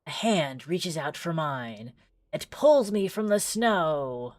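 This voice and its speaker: Low-voiced woman, slow and unhurried. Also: animated, darkish tone, no echo, studio quality. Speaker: streamer playing visual novel demos. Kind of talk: casual livestream commentary mixed with dramatic read-aloud narration.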